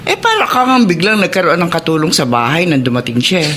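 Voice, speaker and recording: An older mother in a Filipino radio drama. Her delivery swings widely in pitch as she gently scolds and persuades with emphasis. A faint layer of ambient noise sits behind the voice. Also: sing-song, brisk. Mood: chiding. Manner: emphatic, coaxing, admonishing.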